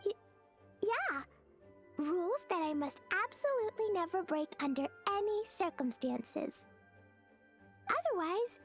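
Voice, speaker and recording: An innocent little girl from a fantasy game, with a tiny, very high voice. She speaks slowly and earnestly, with a lively rise and fall. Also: full character voice. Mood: sheepish. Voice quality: small childlike voice. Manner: earnest, hesitant, guileless. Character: sweet, innocent, excitable little girl adventurer. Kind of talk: video game character acting.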